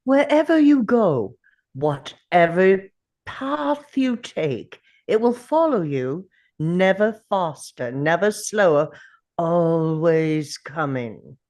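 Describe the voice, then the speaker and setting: A man reading an ominous, solemn narrator in a measured, portentous way, as a dramatic voice-over at a script table read. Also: a little high, big pitch swings, darkish tone. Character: ominous, solemn narrator warning of relentless pursuit.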